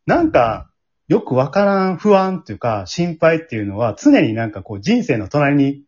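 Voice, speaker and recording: A man's voice: on the high side, very animated, normal speed, darkish tone, slightly rough, muffled recording. A man with a Kansai accent hosting a casual after-show talk, conversational and often seeking agreement from his listeners. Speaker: host and scriptwriter of an amateur radio drama group.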